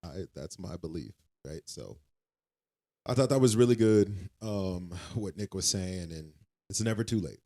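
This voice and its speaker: A young man with a very low voice talking earnestly on a podcast. He sounds reassuring and circles back over his own words as he thinks aloud. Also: expressive, measured pace, warm tone. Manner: earnest, reassuring, repeats himself. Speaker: podcast co-host. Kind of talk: casual faith-based podcast chat.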